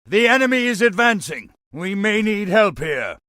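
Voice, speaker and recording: A man playing a brash, domineering military commander in a video game, barking forcefully. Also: high voice, sing-song, normal speed, no echo, studio quality, full character voice. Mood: urgent, shouting. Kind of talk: dramatic video game acting.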